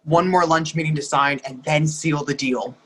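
Actor as casual affectionate thirty-something man, slightly high. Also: fairly even intonation, normal speed, a bit bright, breathy edge. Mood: affectionate, upbeat. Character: upbeat affectionate thirty-ish man's texting inner voice. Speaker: actor in a play reading. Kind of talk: readers theatre dialogue.

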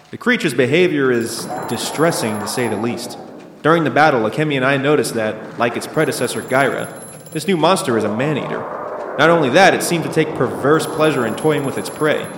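A man's voice: mid-range voice, moderate pace, background noise, close to an everyday voice. A man playing a grave, analytical scientist in monster audio drama dialogue. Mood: grave, worried. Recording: echoey hall reverb under music.

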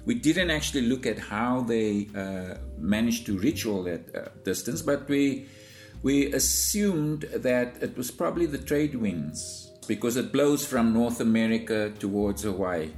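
A South African man answering interview questions as a zoologist guest, in a measured, explanatory way. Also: mid-range voice, expressive, a little mellow, soft background music.

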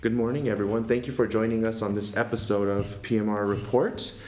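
A man's voice: on the low side, fairly even intonation, fairly quick, a little mellow, faint music behind. A man hosting a podcast interview, asking questions in a hesitant way with many fillers. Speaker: resident interviewer. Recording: echoey room.

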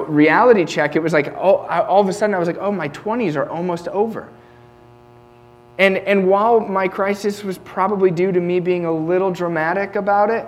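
A man in his late twenties preaching a sermon in an earnest, conversational way, often restarting his sentences. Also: fairly high pitch. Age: 20s.

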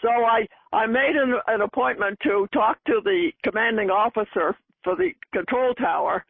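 Woman in her 80s from Boston, chatty storyteller, husky voice, tinny archive recording. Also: fairly high pitch, animated, normal speed, no echo. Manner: chatty, laughing at herself. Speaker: oral history interviewee. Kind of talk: storytelling recollection.

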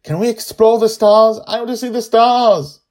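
A man voicing an excitable eleven-year-old adventurous girl in a story, exclaiming with eager wonder. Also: high-pitched, moderate pace, pitched above the performer's own voice, close to an everyday voice. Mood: wonderstruck.

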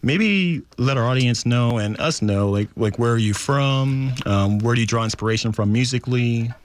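A man's voice: mid-range voice, normal speed, a little hiss or hum. A man from Albuquerque, New Mexico, hosting a casual radio studio interview in an easygoing manner. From Albuquerque, New Mexico, USA. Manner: easygoing, relaxed asides with "you know" and "like". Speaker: radio host.

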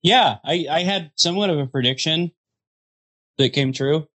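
A man's voice: on the high side, moderate pace, fairly bright tone. Wisecracking man in his thirties. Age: 30s. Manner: joking, wisecracking. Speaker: podcast co-host. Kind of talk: casual chat.

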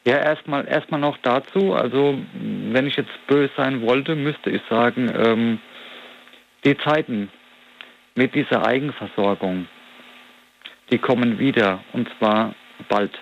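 A forty-year-old man chatting as an interview guest, reflective and meandering, often pausing to find the right word. His voice sits at a middling pitch, captured on a slightly dull, lo-fi recording with faint noise behind him. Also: vocal fry. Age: 40s. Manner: reflective, rambling, searching for words. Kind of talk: casual conversational interview.

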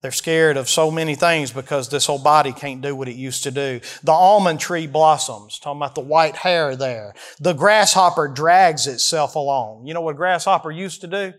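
A man's voice: a little high, moderate pace, few pauses, fairly bright tone. A man in his early forties with a Southern US accent preaches an expository sermon, folksy and emphatic, putting questions to his listeners. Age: early 40s. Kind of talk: expository sermon, reading verses and explaining them.

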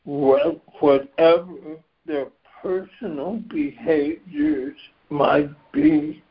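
A man losing his voice gives a reflective spiritual share on a group call, measured and clearing his throat now and then. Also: fairly high pitch, steady tone. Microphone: phone on a conference call. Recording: dull lo-fi conference call line.

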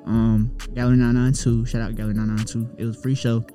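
A man's voice: mid-range voice, level tone, quick pace, mellow, soft background music. A young man, a rapper, chatting casually and telling a long story in a loose, profane way. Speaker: rapper guest. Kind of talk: casual chat.

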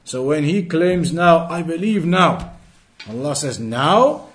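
A man with a high voice teaches a religious lesson. He reads from a text and explains it deliberately, going back over phrases to stress them. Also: expressive. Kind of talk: Islamic lesson, reading Arabic text and explaining it in English.

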